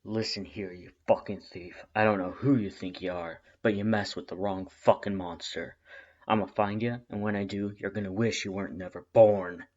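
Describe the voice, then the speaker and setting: A gruff, low-voiced skeleton thug as put on by a story reader, terse and threatening. He is a crude, grudging male monster in a fan-fiction audio story, recorded a little dully. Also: fairly even intonation, moderate pace, a little mellow, dull audio. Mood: menacing.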